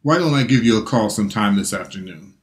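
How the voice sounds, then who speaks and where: A smug billionaire tycoon, cold and unruffled , voiced by a man in a lowish register. He drawls with annoying calm. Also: fast, lightly acted. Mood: dismissive.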